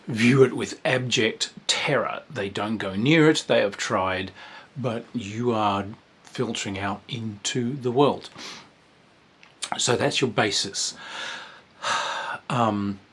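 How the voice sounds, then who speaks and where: A man giving a chatty, rambling review of a tabletop role-playing game, breaking into sudden excited outbursts between calmer stretches. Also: on the low side, breathy edge. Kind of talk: casual review monologue.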